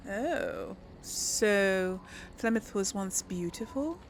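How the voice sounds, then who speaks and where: Creaky, very animated woman playing games. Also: mid-range voice, drawn-out words, a little hiss or hum. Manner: musing, thinking aloud. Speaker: gaming host role-playing through a game. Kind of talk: casual let's-play commentary with in-character replies.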